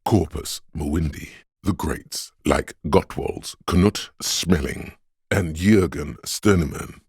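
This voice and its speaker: An actor voicing an erudite, worldly inner voice of knowledge in a video game, narrating facts in a measured, dry, scholarly way. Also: male voice, extremely low pitch, darkish tone, husky, dry recording, full character voice.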